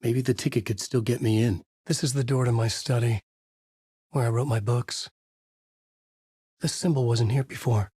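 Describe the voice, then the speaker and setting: A man playing a brooding, haunted writer in a video game, narrating a dark noir thriller in grave, clipped, dramatic phrases with a husky voice. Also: medium pitch, steady tone, normal speed, long pauses, no room sound, clean studio sound, natural voice. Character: brooding, haunted male writer trapped in a nightmare.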